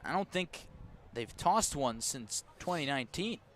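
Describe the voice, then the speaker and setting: An American man doing live radio baseball play-by-play from a ballpark, slipping between pitch calls and conversational asides. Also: animated, unhurried, a bit bright, slight background noise. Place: outdoors at a ballpark.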